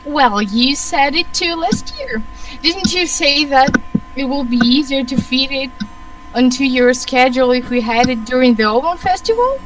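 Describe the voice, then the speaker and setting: High-voiced, assertive woman playing a family aunt. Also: expressive, normal speed, recorded from a distance, a little hiss or hum, poor audio. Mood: bickering. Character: assertive, bickering adult woman of a wealthy family.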